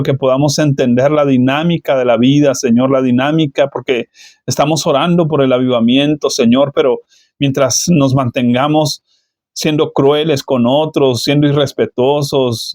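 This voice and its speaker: A man leading a spoken prayer, slow and measured, calling on the Lord between nearly every phrase. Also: mid-range voice, a little mellow, dry recording, studio quality. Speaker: praying minister.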